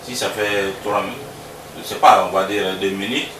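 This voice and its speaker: A man from Congo, a musician, answering interview questions in a relaxed, halting way that repeats words. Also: lowish voice. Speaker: musician guest. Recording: roomy sound from a distance with background noise.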